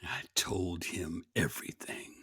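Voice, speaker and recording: A man's voice: low voice, a little slow, pushed and bright, slightly rough, in a whisper, full character voice. A man playing a traitor in a sci-fi screenplay table read. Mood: tense, low. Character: male traitor in a sci-fi story.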